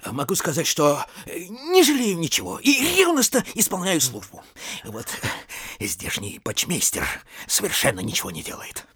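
An actor playing an obsequious, sneaky middle-aged official in an audio comedy, husky and haltingly conspiratorial. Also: male voice, a little high, huge pitch swings, a bit bright, no echo, studio quality, exaggerated voice. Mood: servile, tattling. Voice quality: husky, creaky. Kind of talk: theatrical audio play comedy acting.

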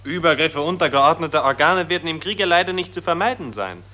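A solemn, high-flown patriot lectures on glory and great times. His voice is bright and forceful and sits a little high. He preaches more than he talks. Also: lively intonation, fairly quick. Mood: lofty. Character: pompous patriotic male optimist, lecturing.

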